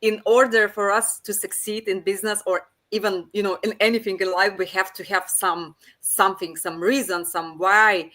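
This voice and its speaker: A woman co-hosting a casual live interview over a video call, speaking haltingly and often repeating her phrases. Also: mid-range voice, fairly even intonation, sharp tone. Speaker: co-host.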